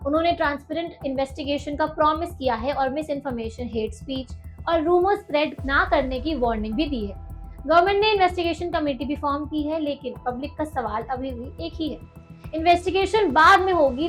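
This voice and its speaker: A woman's voice: high voice, brisk. A woman with an Indian accent presenting a scripted news explainer as host, with dramatic, emphatic delivery. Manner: dramatic, emphatic, posing rhetorical questions. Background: music bed.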